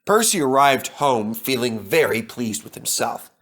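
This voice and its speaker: A man reads a scripted children's tale as storyteller. His pace is unhurried. His pitch rises and falls expressively with the narration. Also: very animated, a bit bright.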